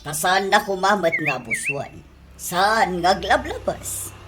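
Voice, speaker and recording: An actress playing an expressive middle-aged aunt who runs a restaurant, exasperated but fair, in a radio comedy drama. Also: female voice, mid-range voice, takes it slowly, over music. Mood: explaining.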